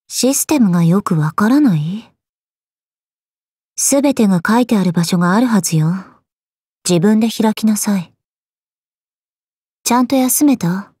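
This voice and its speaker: A woman voicing a cool, aloof, quietly caring gorgon swordswoman in a game, languid, deadpan and curt, with a soft, husky voice. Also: medium pitch, dry recording, studio quality. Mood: cool, dry. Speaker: voice actress. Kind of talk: scripted Japanese mobile game character lines.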